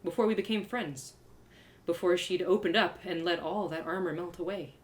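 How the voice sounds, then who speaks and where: A woman's voice: lowish voice, moderate pace. A woman storyteller giving a dramatic, theatrical first-person narration of a story. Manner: expressive, theatrical. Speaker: storyteller reading own series live.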